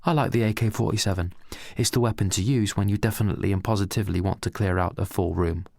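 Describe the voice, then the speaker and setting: A man voicing a paranoid, army-obsessed man who talks in anxious, rushed, excitable bursts. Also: lowish voice, vocal fry, no echo, clean studio sound. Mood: agitated.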